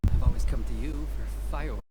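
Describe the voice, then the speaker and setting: A man's voice: medium pitch, quick pace, lightly acted. A man playing a cautious, grateful boat owner in an anime fantasy game, hesitant and earnest. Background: game music.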